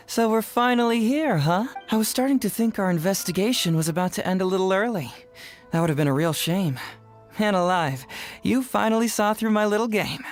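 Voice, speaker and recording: A man's voice: sits high, normal speed, lightly acted. Man acting a clever, smug young detective. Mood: playful, smug. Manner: articulate, teasing, theatrical. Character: clever, playful young male detective, smugly self-assured. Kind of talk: video game voice acting. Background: game music.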